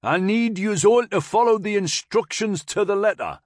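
A man's voice: high voice, moderate pace, no room sound, clean studio sound, pitched above the performer's own voice. A man voicing a testy, dour army corporal with a broad Newcastle accent in an audiobook, calling out dryly. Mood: businesslike shouting.

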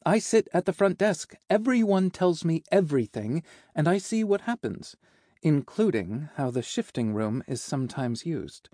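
A man's voice: fairly high pitch, big pitch swings, moderate pace, mellow, dry recording, clean studio sound, voice pushed up. A man voicing a cheerful, efficient female secretary with a teasing, matter-of-fact manner in an audiobook. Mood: frank, teasing. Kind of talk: audiobook character dialogue.

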